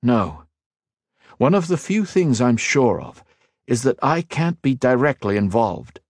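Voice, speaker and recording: A man's voice: medium pitch, big pitch swings, a little slow, dry recording, clean studio sound, pitched above the performer's own voice. A man voicing Ethan, an earnest, modest young male hero in a fantasy audiobook.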